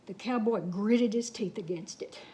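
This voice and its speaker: A woman reading a children's story aloud in an expressive, folksy, dramatic way with chatty asides. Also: mid-range voice, normal speed.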